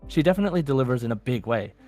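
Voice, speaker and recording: A man's voice: medium pitch, lively intonation, very fast, mellow, faint music behind. A man co-hosting a podcast, chatting casually and reading out messages from listeners. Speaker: podcast co-host.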